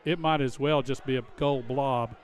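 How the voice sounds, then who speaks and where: A man's voice: medium pitch, fairly even intonation, moderate pace, slight background noise. A man giving conversational color commentary during a live football game, outdoors at a stadium.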